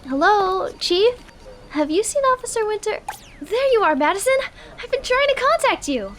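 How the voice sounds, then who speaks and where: A woman's voice: extremely high pitch, very animated, normal speed, fairly bright tone, faint music behind, exaggerated voice. A woman playing a flustered, insistent female character in an audio drama.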